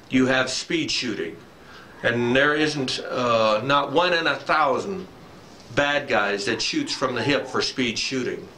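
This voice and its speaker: A man's voice: mid-range voice, pushed and bright. An older man giving an informal instructional talk to camera as a firearms instructor, explaining step by step and repeating key points. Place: dim wooden barn or shed, indoors. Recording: echoey room, faint background noise.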